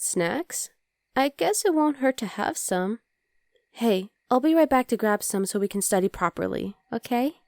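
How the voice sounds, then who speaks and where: A woman playing an affectionate, playful young demon girlfriend in an intimate ASMR roleplay, speaking softly to the listener. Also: fairly high pitch, animated, a little slow, creaky, no echo, studio quality.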